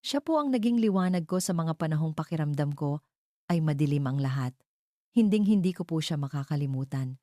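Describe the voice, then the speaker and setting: Woman voicing a sad humble young maid, polite and sorry, low mellow voice, audio drama. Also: expressive, fairly quick, dry recording, clean studio sound. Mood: sad, tender. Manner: polite, deferential, apologetic. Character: humble heartbroken young housemaid reading her farewell letter.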